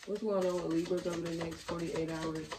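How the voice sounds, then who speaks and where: Young woman doing a tarot reading, kinda raspy, low flat voice, echoey bedroom, mic a bit far off. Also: fairly quick, heavy background noise. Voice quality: slightly raspy. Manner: chatty, slangy, thinking aloud. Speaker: tarot reader. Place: bedroom at a table.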